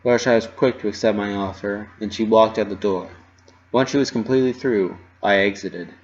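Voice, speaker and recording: Low-voiced man reading a dramatic story. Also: brisk, lo-fi sound. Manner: expressive, dramatic delivery. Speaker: reader of fan fiction. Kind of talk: dramatic first-person story narration.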